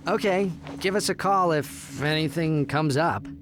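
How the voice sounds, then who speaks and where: A man's voice: fairly high pitch, unhurried, background noise, close to an everyday voice. A man voicing a boyish, wry, colloquial young space-adventure hero in a cartoonish video game. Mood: concerned.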